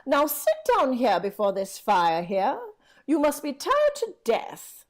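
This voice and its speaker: A woman's voice: sits high, huge pitch swings, slow, heavily acted. A woman playing a kindly, genteel elderly housekeeper in a vintage-style radio drama, with a fussing, exclamatory manner. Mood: kindly, chatty. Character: kindly, chatty elderly housekeeper, slightly deaf.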